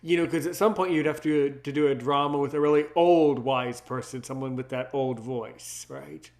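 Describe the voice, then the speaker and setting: Higher-pitched man, emphatic podcast host. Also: steady tone, moderate pace, darkish tone. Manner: emphatic, repeats words and phrases. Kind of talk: casual interview chat.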